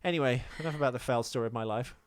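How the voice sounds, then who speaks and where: A man's voice: medium pitch, racing, clear, resonant tone. A British man hosting a podcast in casual, sweary and self-deprecating banter. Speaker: podcast host.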